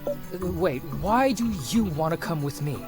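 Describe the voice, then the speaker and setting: A wary, brusque male deserter from a fantasy game, blunt and casual. His intonation moves widely, with clear music playing behind him. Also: fairly high pitch, expressive, unhurried. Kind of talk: English video game cutscene acting.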